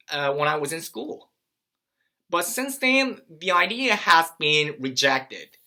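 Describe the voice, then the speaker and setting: A man in his thirties, a native Korean speaker with a Korean accent, calmly explaining a language lesson to a webcam in a small room. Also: fairly high pitch. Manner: calm, unhurried explaining. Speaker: Korean teacher. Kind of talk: talking-head lesson to camera. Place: small room by a bright window.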